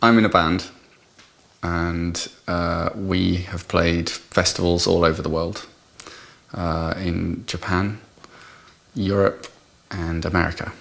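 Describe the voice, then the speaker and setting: Very low-voiced man with gravelly creak. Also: little pitch movement, takes it slowly, a bit bright. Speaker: musician in a band, interviewee. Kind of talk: unscripted personal anecdote.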